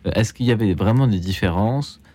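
Deep-voiced male radio host. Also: a little fast, dark tone. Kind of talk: live radio phone-in discussion.

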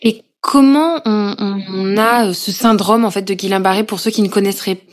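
A French woman who hosts a podcast, reading a scripted intro and then asking questions gently with some hesitation. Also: mid-range voice, moderate pace. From France. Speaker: podcast host and journalist.

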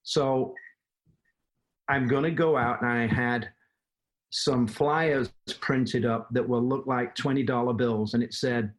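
Older manchester guy rambling through his story, um and uh a lot, mid pitch. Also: steady tone, takes it slowly, rough recording. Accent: Manchester English. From England. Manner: rambling, with many fillers and restarts. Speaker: guest, former plumbing business owner. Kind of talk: casual interview storytelling.

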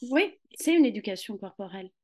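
Give me a woman who hesitates and searches for her words, at a medium pitch. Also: expressive, brisk, a little mellow. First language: Italian. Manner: hesitant, many fillers and restarts, searching for words. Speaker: guest, Pilates and Rolfing practitioner. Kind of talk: conversational interview.

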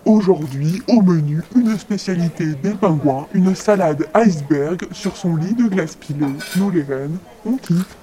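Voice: mid-range voice, lively intonation, a little slow, warm tone, natural voice. A performer voicing a slangy reindeer canteen worker announcing food, in a comic audio drama. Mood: pleased.

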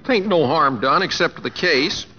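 An actor playing an easygoing, teasing young cowboy with an American West accent, polite and folksy, in a 1950s radio drama. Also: male voice, fairly high pitch, animated, moderate pace, lightly acted. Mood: wry teasing. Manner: folksy, polite, hesitant with uhs. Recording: muffled vintage radio broadcast.

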